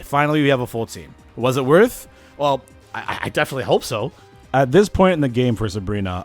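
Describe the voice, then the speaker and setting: A man giving a casual, scripted voice-over for a video game challenge, with slangy, animated asides. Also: medium pitch. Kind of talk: casual scripted gameplay narration.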